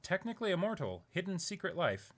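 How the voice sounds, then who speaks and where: A young man hosting an online video, casually reading aloud in a halting, chuckling way while seated indoors. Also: high-pitched, big pitch swings. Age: young adult. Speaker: YouTube unboxing host. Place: small indoor room, seated.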